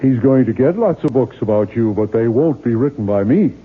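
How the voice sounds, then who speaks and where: A man's voice: medium pitch, animated, fairly quick, slightly rough. A male actor playing a weary, bitter novelist, curt and insistent, in 1970s radio drama style. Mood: dry, dismissive. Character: weary, bitter male novelist haunted by his own creation. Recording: muffled, noisy 1970s radio broadcast recording.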